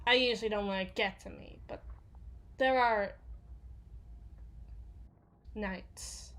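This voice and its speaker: A fairly high-voiced, foul-mouthed female bodyguard to villains, acted out by a woman, speaking very slowly. She is gruff and sardonic but haunted, confiding haltingly in an intimate ASMR roleplay monologue. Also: sharp tone, full character voice. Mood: guarded confession.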